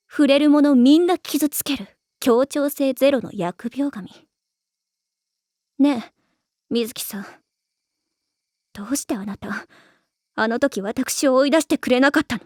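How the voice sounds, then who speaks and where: A woman's voice: sits high, a little mellow, no room sound, clean studio sound. A woman voicing a proud, self-blaming teenage schoolgirl in an anime, with formal, refined ladylike speech. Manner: formal, refined ojou-sama way of speaking. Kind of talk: anime voice acting.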